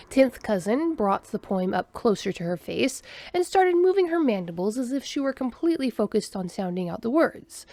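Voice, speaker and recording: A woman narrating a science fiction story in an expressive storyteller voice. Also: a little high. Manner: expressive storyteller voicing every character. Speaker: audiobook narrator.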